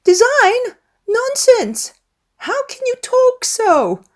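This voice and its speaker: A woman voicing a fussy, nagging middle-aged gentlewoman in a dramatised novel, exclamatory and insistent. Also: extremely high pitch, sing-song, slow pace, pitched above the performer's own voice, full character voice. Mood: exasperated.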